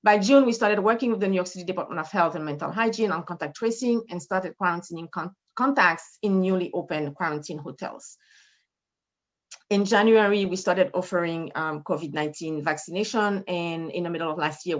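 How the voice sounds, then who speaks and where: A woman with a French Haitian accent, a medical director giving a measured, formal conference presentation over a video call. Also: fairly low pitch, dull audio. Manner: measured, formal, reading data with occasional fillers. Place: in front of a brick wall indoors.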